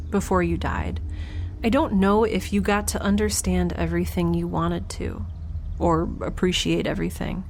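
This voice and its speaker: A woman's voice: lowish voice, a little hiss or hum. A woman playing a wry, anxious, wistful young woman alone in space, talking casually in an audio drama diary. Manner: casual, conversational, sardonic. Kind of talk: audio drama, first-person recorded diary.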